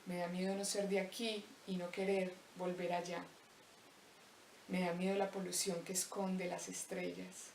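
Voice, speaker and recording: A young woman from Colombia with a Paisa accent, slowly reciting a reflective spoken-word poem with deliberate pauses. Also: fairly low pitch, flat delivery, gravelly, darkish tone, a little hiss or hum. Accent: Paisa Colombian Spanish. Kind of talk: spoken-word poetry monologue. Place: empty abandoned house. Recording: roomy echo, voice distant from mic.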